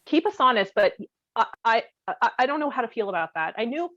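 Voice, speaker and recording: A woman chatting casually in a candid, animated, joking way. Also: fairly high pitch, brisk, no echo. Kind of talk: casual chat. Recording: close, dry, slightly dull audio.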